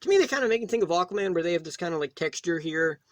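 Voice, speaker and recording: A man hosting a casual, unscripted toy review, talking in a rambling, halting way and often rephrasing himself. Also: sits high, expressive, no echo, studio quality. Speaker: toy reviewer, video host.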